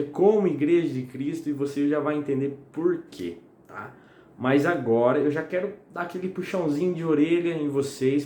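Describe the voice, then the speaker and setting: A young man from the São preaches at home, slightly hoarse, in a chatty, friendly way that keeps checking in with his listeners. His voice rings in an echoey room with a faint hiss underneath. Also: medium pitch, a little mellow. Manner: chatty and friendly, asks "tá?" often. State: slightly hoarse and sweating in the heat. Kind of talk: casual home-recorded sermon. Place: home at night.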